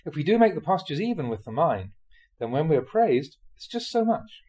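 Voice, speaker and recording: Measured man reading Buddhist teachings aloud. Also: on the high side, big pitch swings, a little mellow. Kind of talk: scripted audiobook-style reading of dharma talks.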